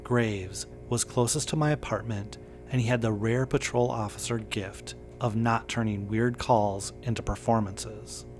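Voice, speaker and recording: Low-pitched man narrating, slow and measured. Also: steady tone, faint music behind. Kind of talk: scripted first-person horror story narration.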